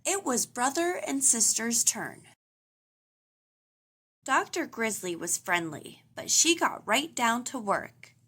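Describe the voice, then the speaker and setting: Animated, bright-voiced woman reading a storybook. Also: a little high, takes it slowly, husky. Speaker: storybook reader. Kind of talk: expressive children's read-aloud narration.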